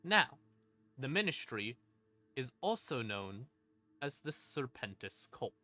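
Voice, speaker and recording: A man's voice: medium pitch, sing-song, takes it slowly, bright, forceful tone. A man streaming a casual explanation of game lore, informal, with dry asides and dramatic emphasis. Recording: music behind the voice. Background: creepy ambient music.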